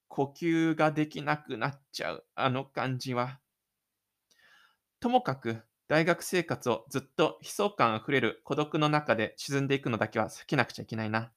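A man's voice: medium pitch, moderate pace, fairly bright tone, natural voice. A man voicing a shy, stubborn, lonely teenage schoolboy in an introspective audio drama monologue. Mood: lonely, anxious.

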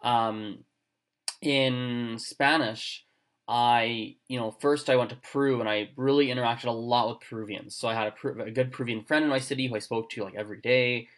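A man hosting a casual chat, talking in quick bursts full of fillers and restarts. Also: medium pitch, fairly even intonation. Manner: chatty, lots of fillers and restarts. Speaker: podcast host. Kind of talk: casual conversation.

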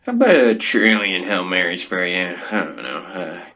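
A man plays a blunt, dim-witted comedy host with a low but bright, forceful voice. He is impatient and exclamatory, brushing people off. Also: big pitch swings, moderate pace, muffled recording, exaggerated voice.

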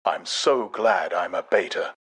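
A man voicing a soft, very distinct, prim and smug teaching recording in an audiobook. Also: low-pitched, a little slow, slightly rough, full character voice. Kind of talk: dramatized audiobook character.

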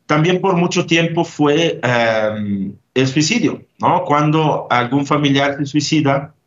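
A man's voice: fairly high pitch, slow pace, lo-fi sound. A man from Italy, a grief therapist, answering interview questions thoughtfully with frequent hesitations and tag questions.

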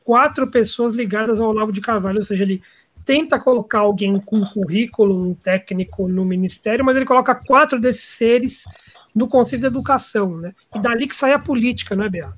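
A woman's voice: on the high side, fairly quick. A woman with a Brazilian accent hosting a political discussion, putting questions to the panel. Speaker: host.

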